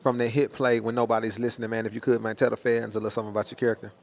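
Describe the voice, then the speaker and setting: A man's voice: on the low side, level tone, very fast. An American man doing casual promotional interviews in a slangy, hyped, conversational way.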